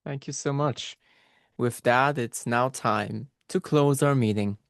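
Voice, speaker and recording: A man's voice: mid-range voice, takes it slowly, vocal fry, smooth, no room sound, clean studio sound. A man hosting a support group meeting over a video call, talking casually and stuttering, with repeated sounds and restarts.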